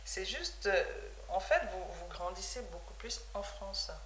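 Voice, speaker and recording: A woman's voice: medium pitch, animated, a little slow, fairly bright tone. Woman interviewer in an echoey, hissy room. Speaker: TV show host. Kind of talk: casual interview. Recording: echoey room with faint hiss.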